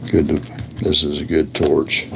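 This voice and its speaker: A man with a deep voice chatting casually and in a folksy, colloquial way as he hosts a hands-on demonstration of lighter repair. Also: completely flat delivery, unhurried, very noisy. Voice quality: gravelly. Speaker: host of a lighter repair livestream.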